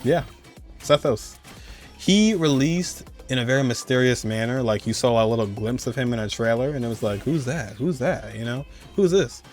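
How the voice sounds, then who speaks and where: A man's voice: medium pitch, moderate pace, a little mellow. A man in his twenties giving casual, rambling commentary as he hosts a video countdown. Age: 20s. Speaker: video host. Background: music under the voice.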